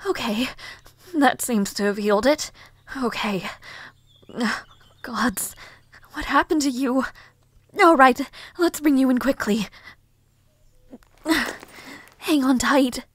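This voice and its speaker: A woman playing a caring, easily flustered young noblewoman in a fantasy audio roleplay, soft-spoken, hesitant and politely formal. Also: high-pitched, slow, no echo. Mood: alarmed, urgent.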